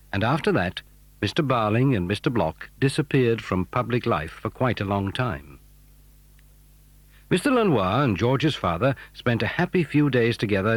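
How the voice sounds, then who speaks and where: A man's voice: fairly low pitch, no room sound. A man narrating a scripted children's adventure story.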